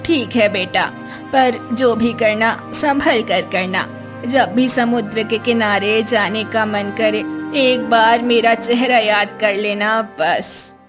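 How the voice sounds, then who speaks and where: A woman voicing a worried elderly grandmother in a cartoon story, pleading slowly and protectively. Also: fairly high pitch, steady tone, over music. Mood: gentle.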